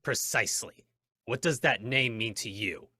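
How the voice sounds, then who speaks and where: An actor playing a stern, righteous masked crime fighter in an old-time radio drama, with formal, deliberate, commanding phrasing. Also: male voice, mid-range voice, bright, forceful tone. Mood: cool, commanding.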